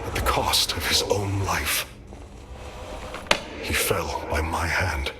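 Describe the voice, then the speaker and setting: An actor playing a noble, grave alien warrior leader in a video game, burdened by grief and speaking in formal, measured oratory. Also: male voice, low voice. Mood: grieving, burdened. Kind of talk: dramatic video game acting. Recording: loud music behind.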